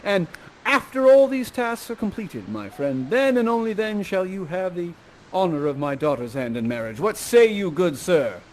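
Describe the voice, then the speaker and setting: Man acting a high-voiced, commanding Shakespearean father. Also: sing-song, normal speed, slight background noise, low-quality recording. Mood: stern, bargaining. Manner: commanding, theatrical, repeating phrases.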